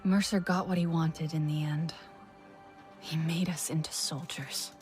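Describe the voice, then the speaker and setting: A woman's voice: deep voice, steady tone, a little slow, a little mellow, soft background music. An actress playing an earnest, searching young alien woman in a video game, with a questioning manner. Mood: wistful. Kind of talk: dramatic video game acting.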